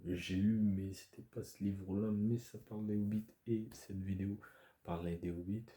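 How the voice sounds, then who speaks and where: Hoarse, stuffy-nosed man, very low. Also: level tone, normal speed, mellow. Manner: hesitant, rambling, many fillers and restarts. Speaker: video creator. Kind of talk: casual chat to camera.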